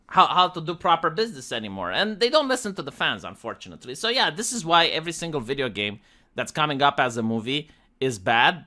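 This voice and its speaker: An opinionated host delivers casual commentary with emphatic, exasperated energy. The voice is bright and forceful, and the pitch moves around in a very lively way as each argument is pressed.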